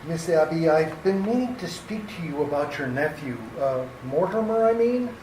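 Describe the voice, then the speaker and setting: A man's voice: a little high, lively intonation, normal speed, a little mellow, natural voice. Man playing a prim minister, echoey and distant. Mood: prim, hesitant. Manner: hesitant, proper, earnest. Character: prim, mild, disapproving minister visiting for tea. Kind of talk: old-time radio comedy acting. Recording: echoey distant pickup with clear noise.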